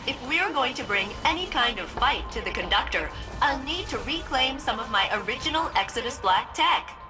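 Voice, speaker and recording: Female video game ship AI, chatty, sardonic, animated intonation, clear music behind. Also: high-pitched, moderate pace, rough recording. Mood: brisk, bossy. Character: upbeat, snarky female ship artificial intelligence. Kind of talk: video game mission briefing dialogue.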